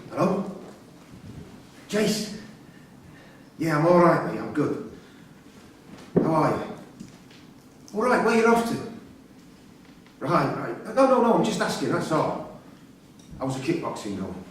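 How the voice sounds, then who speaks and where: An actor in a staged reading playing an imprisoned father, pleading and insistent, quick to swear and anger. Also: a little high, very animated. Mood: friendly, anxious. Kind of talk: live staged play reading. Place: theatre-pub performance space, recorded from a distance. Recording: roomy far-off stage recording, faint noise.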